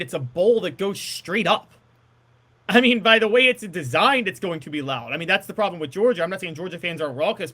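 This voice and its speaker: A man with a fairly high, bright voice co-hosts a casual sports show. He works toward his points haltingly, circling back and repeating phrases. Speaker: sports talk show co-host.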